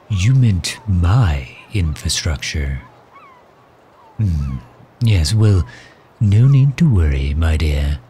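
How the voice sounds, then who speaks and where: Guy doing a suave villain for asmr roleplay, really slow and measured, formal but gentle, deep voice. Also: a little hiss or hum, exaggerated voice. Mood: proud, reassuring. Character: suave, protective male villain doting on his captive guest.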